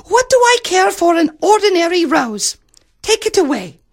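A woman's voice: very animated, normal speed, heavily acted. A woman voicing a haughty, spoiled young princess, whiny, girlish and petulant, in a storybook telling. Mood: pouting, haughty. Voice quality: very high-pitched, girlish. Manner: petulant, cooing, exclamatory.

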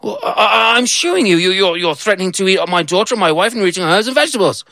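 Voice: mid-range voice, animated, fast, sharp tone, no echo, voice pushed up. A storyteller voicing a polite, hesitant husband who stammers over his words.

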